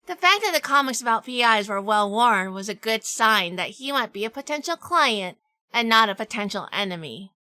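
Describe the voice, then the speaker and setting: A feisty lady detective, played by a woman in a noir radio play. Her voice is highish, bright and very clear, and she delivers wisecracks with toughness. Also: expressive, moderate pace, no echo. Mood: wry, narrating. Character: sassy, tough female private eye. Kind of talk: noir scripted narration with acted dialogue.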